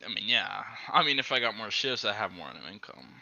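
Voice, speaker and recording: A man's voice: lowish voice, brisk, creaky, slight background noise, low-quality recording. A young man as an interview guest in a casual chat, joking and laughing often, talking close to a handheld mic. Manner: giggly, joking, lively. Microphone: handheld mic held close.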